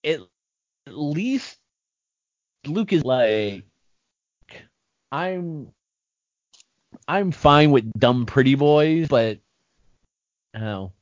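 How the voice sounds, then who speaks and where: Animated man on a dull dry podcast mic. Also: fairly high pitch, very slow, long pauses, no room sound. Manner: animated, joking, restarts. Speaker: podcast co-host. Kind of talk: casual chat.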